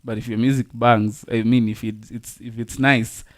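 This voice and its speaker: A man's voice: mid-range voice, flat delivery. Young man, slangy podcast banter. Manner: slangy, halting restarts, laughs easily. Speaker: podcast co-host. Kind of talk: casual chat.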